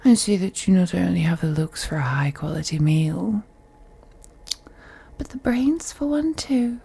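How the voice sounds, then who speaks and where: A woman's voice: fairly low pitch, expressive, warm tone, heavily acted. A woman playing a wealthy, possessive female vampire in an intimate audio roleplay, speaking in a whispery, teasing, condescending way. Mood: amused, teasing. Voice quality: breathy, whispery. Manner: slow, purring, condescending, deliberate.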